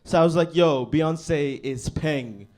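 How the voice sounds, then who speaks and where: A young man from Bangladesh performs a stand-up set in a comedy club, his voice sitting a little higher than most men's. He delivers his lines in a laid-back, deadpan way, often tagging them with "you know what I mean". The sound comes through a handheld stage mic. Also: slow, faint music behind.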